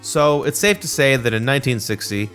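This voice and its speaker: A man's voice: medium pitch, expressive, brisk, a bit bright, soft background music. A man narrating a scripted video essay.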